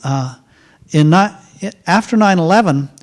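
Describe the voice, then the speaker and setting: A middle-aged man telling a story casually at a university event, halting with many fillers and restarts. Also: sits high, expressive. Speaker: author speaking at a university event.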